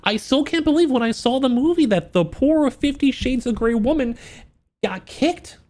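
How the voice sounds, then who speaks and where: A man with a high voice talks like a casual livestreamer reacting to things. His pitch moves around a great deal, giving him a very animated sound. He is slangy and joking, with an incredulous edge. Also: moderate pace. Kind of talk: casual livestream reaction with book read-outs.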